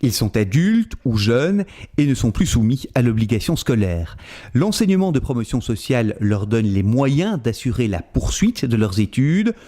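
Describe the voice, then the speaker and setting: Belgian male narrator, warm and lively, measured explainer read. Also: mid-range voice. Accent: Belgian French. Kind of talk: scripted documentary narration.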